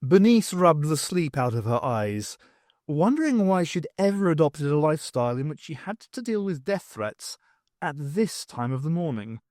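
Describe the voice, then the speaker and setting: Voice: medium pitch, sing-song, normal speed, a little mellow, no echo, studio quality. An audiobook narrator reading a scripted story and acting out the characters.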